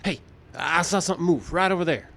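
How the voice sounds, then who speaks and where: An actor playing a deferential, excitable deputy in an old-time radio western. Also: male voice, high voice, fast. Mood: alert, eager. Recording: noisy live stage pickup.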